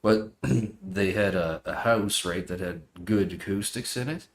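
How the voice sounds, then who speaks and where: A man's voice: low-pitched, unhurried. A man co-hosting a casual stream, agreeable and informal, talking in laid-back slang. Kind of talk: casual chat. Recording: faint background noise.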